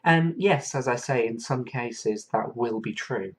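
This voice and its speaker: A man's voice: mid-range voice, breathy edge. Earnest man reflecting on his podcast. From England. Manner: earnest, thoughtful, pausing with fillers. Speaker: podcast host. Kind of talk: reflective solo podcast monologue.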